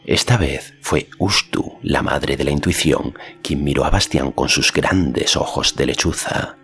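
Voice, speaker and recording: A man's voice: a bass voice, unhurried, a bit bright. A man reading a fantasy story as an audiobook narrator, in a scripted narration style. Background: faint music.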